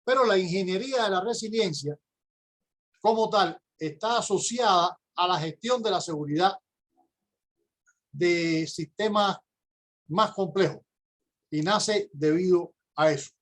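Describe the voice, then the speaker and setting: A middle-aged man from Cuba with a Cuban accent, giving a prepared conference lecture as a university professor in a measured, explanatory way with occasional hesitations. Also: sits high, a bit bright.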